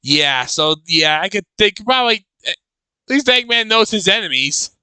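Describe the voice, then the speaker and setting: A man with a high, clean voice hosts a casual reaction video. His tone is very bright and the sound is dry and close. He thinks aloud haltingly, circling back over his own phrases. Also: animated, clean tone, dry recording.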